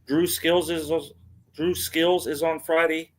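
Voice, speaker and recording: A man's voice: fairly high pitch, flat delivery, takes it slowly. Middle-aged man hosting a casual wrestling podcast. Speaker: podcast host. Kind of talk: casual wrestling news rundown.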